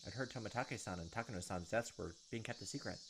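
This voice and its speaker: A man's voice: lowish voice, fast, noisy background. A man in his thirties reading visual novel narration aloud and commenting as he plays. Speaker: let's-player. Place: indoor room at a computer, on webcam. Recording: game music behind. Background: game music, insect chirps.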